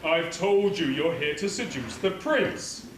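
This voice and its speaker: A man's voice: a little high, animated, a little slow. A man playing a scheming, pompous pantomime baron who gloats theatrically on stage. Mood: scheming, gloating. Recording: distant, echoey, noisy stage recording.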